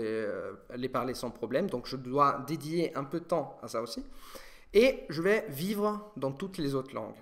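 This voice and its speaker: A young Italian man answering viewer questions in a casual monologue, pausing and restarting often as he thinks aloud. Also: medium pitch. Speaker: language learning video host.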